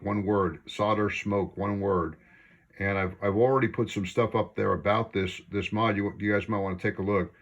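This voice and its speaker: A man's voice: fairly low pitch, dull audio. A man talking casually as an amateur radio operator during an on-air contact.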